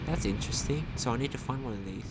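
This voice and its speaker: A man's voice: mid-range voice, fast talker. A man giving casual game commentary, muttering to himself and talking back to the game. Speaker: gamer commentating on own play. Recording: loud constant background noise.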